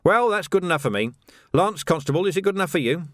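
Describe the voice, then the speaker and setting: A man doing a wry, deadpan watch sergeant. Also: high voice, fast talker, no echo, studio quality, voice pushed up. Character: wry, dry-witted city watch sergeant.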